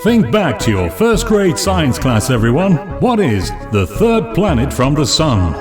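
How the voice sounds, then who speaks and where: A man playing a formal quiz show announcer who reads out categories and questions in a measured way. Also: a little high, sing-song. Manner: measured, deliberate. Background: music.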